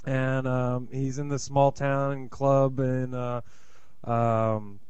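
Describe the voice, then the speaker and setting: A man telling a joke in a halting way, full of ums and pauses. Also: mid-range voice, flat delivery, a little mellow, smooth, no echo, muffled recording.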